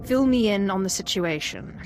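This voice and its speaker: A woman's voice: medium pitch, lively intonation, normal speed, over music, lightly acted. Woman acting a cool, commanding Sith sorceress. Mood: confident. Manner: confident, imperious, controlled. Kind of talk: scripted game voice acting.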